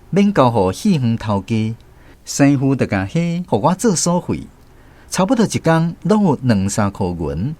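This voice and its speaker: A man's voice: mid-range voice, a little mellow. A man playing a grown storyteller reflecting on his boyhood, narrating a radio drama with a Taiwanese accent.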